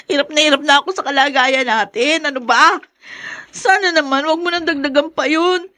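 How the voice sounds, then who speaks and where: A high, dry-recorded female voice as a long-suffering young wife and mother in a radio drama. She is protective of her family and speaks with plaintive, emotional pleading. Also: brisk, pushed and bright, no room sound. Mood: pleading, exasperated. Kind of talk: Tagalog radio drama acting.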